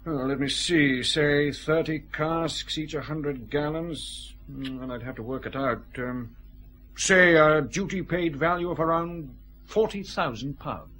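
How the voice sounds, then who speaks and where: An actor playing a cautious, fussy customs official, hesitant and precise, in a radio drama. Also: male voice, fairly high pitch, measured pace, bright, forceful tone, slight background noise.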